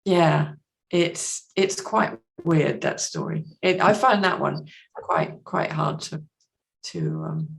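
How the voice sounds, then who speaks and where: Creaky, unhurried woman discussing books. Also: low voice, huge pitch swings. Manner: measured, understated, pausing to think. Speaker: podcast guest. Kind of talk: casual literary discussion.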